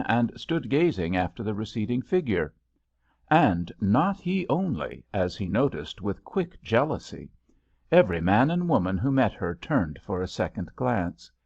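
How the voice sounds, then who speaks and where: Guy narrating an old-fashioned novel, deep-ish lively voice, kinda dull dry recording. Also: gravelly, no echo. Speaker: audiobook narrator. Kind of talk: scripted literary narration. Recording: slightly dull, lo-fi, dry audio.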